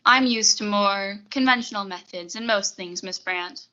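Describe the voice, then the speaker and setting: A woman's voice: medium pitch, normal speed, sharp tone, muffled recording, natural voice. A young woman playing a prim, formal, coolly deferential secretary in a 1940s-style suspense radio play. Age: young adult.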